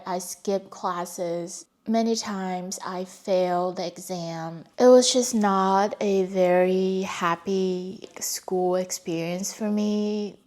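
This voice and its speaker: A woman's voice: on the low side, a little mellow. A young adult woman from China, a native Mandarin speaker with a Chinese accent, telling her life story in a reflective, candid way. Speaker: vlogger. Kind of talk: personal storytelling.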